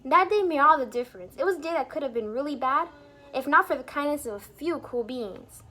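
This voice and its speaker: A woman reading a picture book aloud to young children in an expressive, teacherly way, pausing to explain things and put questions to the listeners. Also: sits high. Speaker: children's storybook reader and teacher. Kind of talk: animated read-aloud for young children with asides explaining words.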